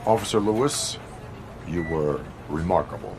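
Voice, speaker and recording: An actor playing a solemn, stoic cyborg police officer in a video game, speaking formally and deliberately. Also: male voice, sits low, sing-song, creaky, noisy background, exaggerated voice. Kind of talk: video game cutscene acting.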